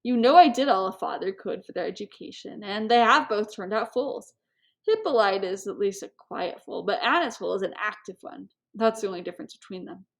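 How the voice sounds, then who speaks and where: A woman's voice: medium pitch, lively intonation, fairly quick, natural voice. A woman reader voices a cynical aristocratic father from a classic storybook. He is middle-aged and world-weary, with a dry, resigned, courtly way of speaking.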